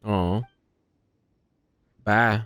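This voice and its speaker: Slow-paced, smooth-voiced casual game commentator. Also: medium pitch, fairly even intonation, a bit bright. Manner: informal, wry, sweary. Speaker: game streamer, player.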